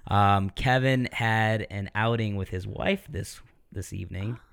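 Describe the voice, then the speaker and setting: Male podcast host, thirties, smooth low voice, chatty storyteller. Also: measured pace, a bit bright. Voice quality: deeper voice. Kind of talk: casual chat.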